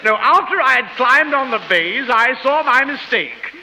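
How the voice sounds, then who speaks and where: Very high-pitched pompous British professor. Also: male voice, animated, measured pace, sharp tone, full character voice, rough recording. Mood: affronted, prim. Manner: pompous, flowery wording, exclaiming in mock dismay. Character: fussy, pompous, bewildered British professor, literal-minded about slang. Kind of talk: 1930s radio comedy acting. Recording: hissy 1930s radio broadcast.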